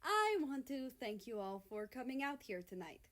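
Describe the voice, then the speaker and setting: A woman's voice: high voice, very animated, moderate pace, fairly bright tone, pitched above the performer's own voice. A woman voicing a gracious, confident male ice-show star giving a formal address in a story.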